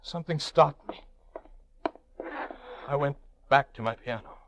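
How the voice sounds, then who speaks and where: A man's voice: mid-range voice, a little slow, dull audio, natural voice. An actor playing an anguished, lonely prisoner recounting his confinement in an old radio drama, with theatrical, intense delivery. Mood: reflective. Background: music.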